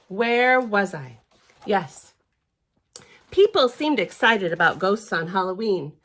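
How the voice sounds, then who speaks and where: A woman reading a picture book aloud to young children as a library storyteller, in a theatrical, expressive manner with chatty asides. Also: on the high side.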